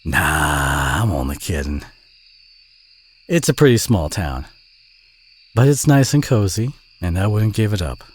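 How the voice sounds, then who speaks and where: A man playing a friendly, modest country boy with a Southern US accent, chatty and gently teasing in an intimate audio roleplay. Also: low-pitched, big pitch swings, measured pace, long pauses, breathy edge, full character voice. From southern United States.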